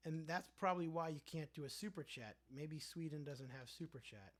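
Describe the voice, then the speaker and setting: A young man runs a relaxed livestream, reading out viewer messages and riffing on them with playful asides. His voice sits a little on the high side for a man. The talk is loose and conversational. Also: a little fast. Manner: chatty, reads viewer comments aloud and riffs on them playfully. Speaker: livestream host reading chat. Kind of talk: casual livestream chat.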